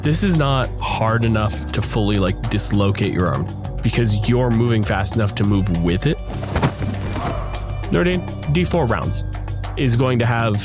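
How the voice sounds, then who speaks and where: A man's voice: on the low side, expressive, unhurried, music in the background. A man acting as game master, casually explaining rulings at a tabletop game. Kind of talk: casual tabletop rules adjudication.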